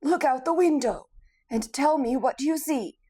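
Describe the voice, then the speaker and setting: Mild scarecrow man, high and unhurried; woman reader voicing him. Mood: thoughtful. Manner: quiet, deliberate. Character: calm, thoughtful male scarecrow made of straw.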